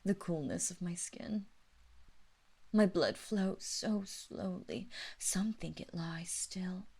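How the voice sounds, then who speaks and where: A seductive, cruel female vampire mistress, acted by a woman speaks in a fairly low register at a very slow, deliberate pace. Her delivery is intimate and breathy, sliding into whispers as she taunts and threatens the listener in an audio roleplay. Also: a little mellow, exaggerated voice. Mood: sultry, possessive.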